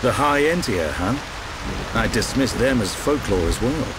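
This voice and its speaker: A man playing a calm, measured and seasoned warrior leader in a video game cutscene. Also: mid-range voice, heavy background noise, close to an everyday voice. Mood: calm, considered.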